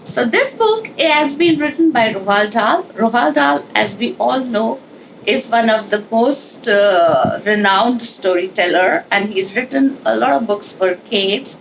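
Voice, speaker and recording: A woman leading a children's read-along, speaking in an instructional, deliberate, explanatory way with pauses. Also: fairly high pitch, expressive, vocal fry, a little hiss or hum, low-quality recording. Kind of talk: instructional talk and storybook read-aloud.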